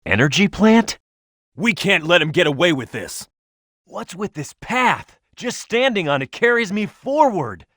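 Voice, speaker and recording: A tough young male echidna hero from a video game, cocky and quick to flare up. The pitch swings very widely in an emphatic delivery. The recording is close and dry, with no room sound. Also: a bit bright, no echo. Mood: excited, urgent. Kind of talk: video game character voice lines, tutorial hints and battle shouts.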